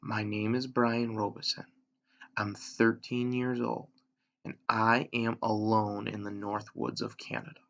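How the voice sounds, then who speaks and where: A man reads the part of a stranded thirteen-year-old boy in a storybook, reasoning anxiously with himself. The voice is gravelly and creaky, with halting, emphatic phrases, on a slightly muffled recording. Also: fairly low pitch, level tone, a bit bright. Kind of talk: read-aloud of an adventure novel.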